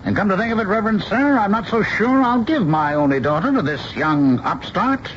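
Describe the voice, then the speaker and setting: A middle-aged family man and artist, genial and teasing, with a very animated, swooping delivery. It is seventies radio drama acting on a dull, noisy old broadcast recording. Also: high voice, brisk. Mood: mock pompous.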